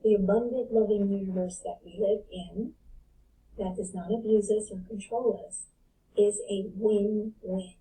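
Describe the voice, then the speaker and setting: A woman's voice: medium pitch, fairly even intonation, measured pace, warm tone. A woman speaking as a guest life coach in a reflective spiritual talk, building her points through emphatic repetition, recorded on a distant mic in an online live audio room.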